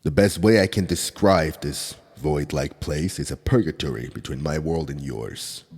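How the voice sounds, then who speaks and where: A stern demon enforcer, played by a man, with an extremely deep voice. It is gravelly and husky. He scolds in low, measured tones and stays protective underneath. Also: darkish tone, full character voice. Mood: gentle. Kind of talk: ASMR audio roleplay.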